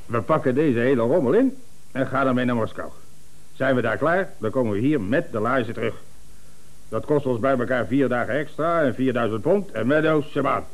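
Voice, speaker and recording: Guy doing a pompous bossy director, medium pitch, blustery and emphatic, radio comedy acting. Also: fairly quick, close to an everyday voice. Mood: self-satisfied, commanding. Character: pompous, infallible, domineering male company director.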